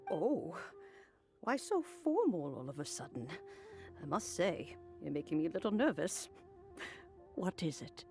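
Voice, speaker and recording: An unhurried, low-pitched wise elder. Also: female voice, sing-song, a little mellow. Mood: gently teasing. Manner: unhurried, gracious. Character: kindly, serene, wise elderly woman, gently teasing. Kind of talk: video game voice acting. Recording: game music behind.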